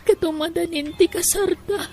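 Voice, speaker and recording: High-pitched earnest young daughter. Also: female voice, normal speed, music in the background. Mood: heartbroken. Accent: Ilocano. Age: young adult. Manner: heartfelt, expressive. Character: earnest, sentimental young Filipino daughter, newly graduated. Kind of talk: audio drama acting.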